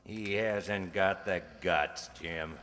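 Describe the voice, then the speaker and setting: Man playing a slow-talking, surly crook. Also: sits low, steady tone, a bit bright, heavily acted. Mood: surly, coaxing. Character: surly, suspicious crook henchman. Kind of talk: old-time radio crime drama acting. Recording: echoey hall stage recording.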